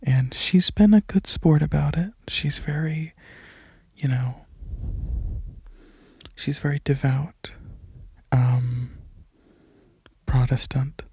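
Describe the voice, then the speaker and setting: A young man giving a soft-spoken, casual ASMR ramble, halting and hesitant, often slipping into breathy whispers. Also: on the high side, fairly even intonation, no room sound, muffled recording. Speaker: ASMR video creator and channel host.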